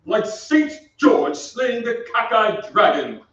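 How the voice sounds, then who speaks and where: A man's voice: high-pitched, very animated, takes it slowly, a bit bright, full character voice. A man playing a weary, grandiloquent centuries-old nobleman's ghost in an old-time radio style stage drama. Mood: lofty. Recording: echoey stage, distant mic, muffled.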